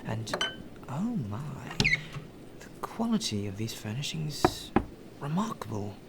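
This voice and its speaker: A man's voice: medium pitch, big pitch swings, slow pace. A man playing a polite, deferential butler in an ASMR roleplay, with formal, flowery phrasing. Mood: admiring. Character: courteous, well-spoken male butler, deferential and appreciative. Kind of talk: ASMR roleplay acting, addressed to the listener. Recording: rain ambience and clear background noise.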